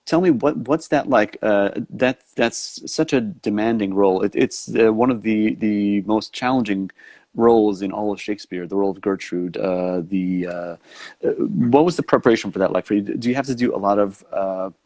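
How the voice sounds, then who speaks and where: A man's voice: fairly low pitch, normal speed, a little mellow, no room sound. A man with a New York accent hosting a live online interview over a video call, talking in long loops full of restarts. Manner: rambling with many restarts. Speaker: host.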